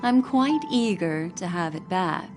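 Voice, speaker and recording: A mysterious, alluring woman speaks very slowly and softly in musing, seductive tones. Clear music plays under her video game lines. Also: fairly low pitch, animated. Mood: teasing. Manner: slow, soft, seductive and philosophical. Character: mysterious alluring woman, memory manipulator, enigmatic. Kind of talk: game voice acting.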